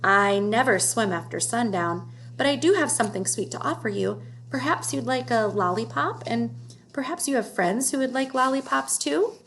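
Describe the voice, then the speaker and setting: A courteous, resourceful boy adventurer from a storybook, read by a woman. The manner is helpful and polite, over a clear background hum. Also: on the high side, expressive, moderate pace, a bit bright. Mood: politely cautious. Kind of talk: children's storybook read-aloud.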